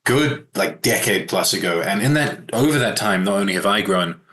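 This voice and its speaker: A middle-aged man with a New Zealand accent, telling stories as an author guest in a relaxed, self-deprecating way. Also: lowish voice, moderate pace. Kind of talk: conversational interview.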